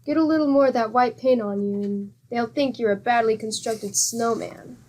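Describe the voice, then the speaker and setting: An actress playing a patient, good-humored wife with a teasing, exclamatory manner in a 1940s-style radio comedy. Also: female voice, on the high side, moderate pace, darkish tone, natural voice, poor audio.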